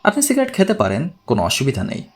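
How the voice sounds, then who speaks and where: A man's voice: medium pitch, huge pitch swings, fast, breathy edge, pitched below the performer's own voice, exaggerated voice. A man voicing a wry, unflappable male investigator in an audiobook, dry and plain-spoken. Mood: wry, calm.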